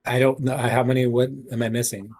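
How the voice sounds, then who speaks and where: A man's voice: mid-range voice, little pitch movement, a little fast, a little mellow. A man chatting casually at the table as a player in a role-playing game.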